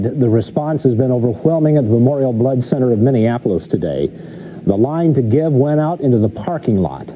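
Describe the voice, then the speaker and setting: A man's voice: mid-range voice, dark tone, slight background noise, poor audio. An American man anchoring a TV newscast with scripted delivery. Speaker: news anchor.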